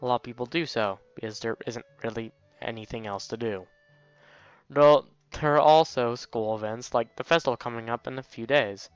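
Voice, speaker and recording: Man reading as a cheerful, flustered schoolgirl, slightly raised pitch. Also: moderate pace, smooth, no echo, dull audio, lightly acted. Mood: helpful, chatty. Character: cheerful, flustered teenage schoolgirl interpreter in visual novel.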